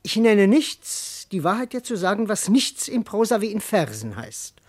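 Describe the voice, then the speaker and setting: Comic servant husband, wildly animated delivery. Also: male voice, high voice, normal speed. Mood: evasive, baffled. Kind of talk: classical German verse radio comedy acting.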